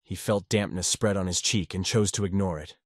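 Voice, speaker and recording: A man narrating a fanfiction audiobook with a steady, measured reading. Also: sits low, dry recording, clean studio sound.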